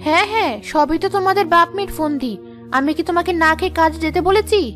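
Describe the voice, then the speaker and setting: A woman playing a hot-headed young village wife and mother in a cartoon drama, sharp and accusing, firing off rhetorical questions. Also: extremely high pitch, fast talker, faint music behind. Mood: irritated. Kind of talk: Bengali cartoon drama acting.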